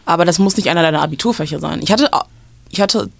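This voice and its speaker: A woman's voice: low-pitched, fast talker, creaky, dry recording. A young woman from western Germany giving casual school advice, explaining at length with many fillers and restarts.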